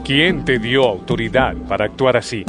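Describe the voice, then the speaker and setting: Casting: male actor for mid-voiced suspicious temple authority, formal interrogator, Bible drama over loud music. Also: mid-range voice, normal speed, close to an everyday voice. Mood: challenging. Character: suspicious chief priest challenging authority. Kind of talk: dramatized scripture audio drama.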